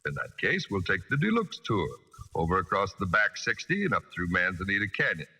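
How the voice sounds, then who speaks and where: A man playing an easygoing, polite American cowboy ranch hand in a 1940s radio drama, folksy and husky. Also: deep voice, big pitch swings, a little fast, pushed and bright, full character voice. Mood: polite, affable.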